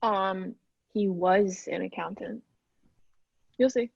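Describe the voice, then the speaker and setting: A woman's voice: medium pitch, slow pace, gravelly, darkish tone, breathy edge, dry recording, full character voice. An actress playing a flighty, chatty young woman in a screenplay table read, with casual conversational delivery. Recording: dull, dry remote call audio.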